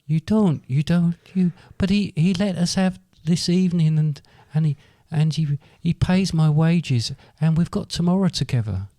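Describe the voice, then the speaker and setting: A man's voice: a little high, normal speed, few pauses, warm tone, no room sound, clean studio sound, natural voice. A man playing a humble, grateful, emotional family man in a comic amateur pantomime, speaking hesitantly. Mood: earnest, grateful. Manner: hesitant, stammering.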